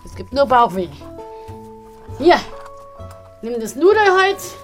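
An actress playing a caring, brisk kitchen woman who gently chides in a children's radio play. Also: high-pitched, sing-song, soft background music, heavily acted. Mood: brisk, cheerful.

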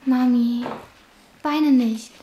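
A woman's voice: high-pitched, fairly even intonation, extremely slow, warm tone, slight background noise, poor audio. A woman in a German radio play acting a thirteen-year-old girl who is guarded and soothing, answering in short, plain words.

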